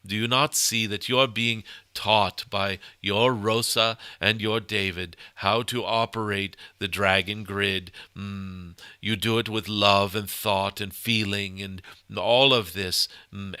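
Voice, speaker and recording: Man channeling a deep, bright-toned humming dragon sage. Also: steady tone, smooth, no echo. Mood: serene, instructive. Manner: halting, ceremonious phrasing punctuated by frequent humming "mm". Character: channeled cosmic golden dragon, benevolent, wise, loving teacher. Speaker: channeler giving spiritual guidance. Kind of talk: channeled spiritual message to an audience.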